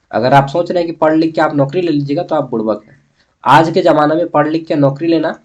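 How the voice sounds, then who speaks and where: A man with a Jharkhand Hindi accent and a slightly high voice gives an informal lesson. He talks straight to his students in everyday colloquial speech. He often poses a question and then answers it himself. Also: darkish tone. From Jharkhand, India. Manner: colloquial, chatty, addresses students directly. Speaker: history teacher for college students. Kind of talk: informal exam-prep lesson.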